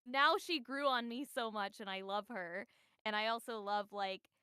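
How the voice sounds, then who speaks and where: Bright, smooth-voiced enthusiastic woman. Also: on the high side, normal speed, no echo, studio quality. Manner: enthusiastic, frequent "like". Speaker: voice actor guest. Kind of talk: casual livestream Q&A.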